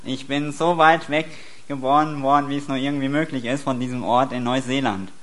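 Church interpreter in a sermon. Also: male voice, medium pitch, steady tone. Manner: quick, with occasional hesitant restarts.

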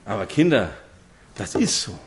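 A man's voice: lowish voice, sing-song, moderate pace, gravelly. A man, preaching a sermon to a congregation and telling stories with direct questions to his listeners. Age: 50s.

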